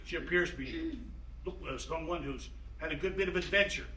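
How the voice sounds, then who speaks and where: Bright-voiced man reading stage directions. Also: fairly high pitch, very animated, a little fast. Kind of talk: scripted narration. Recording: roomy, distant mic, audible noise.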